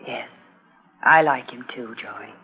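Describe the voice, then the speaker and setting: A woman's voice: extremely low pitch, takes it slowly, breathy edge, full character voice. An actress playing a tender, troubled frontier wife and mother with earnest emotion in a 1950s radio drama. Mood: tender, uneasy. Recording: tinny old radio broadcast.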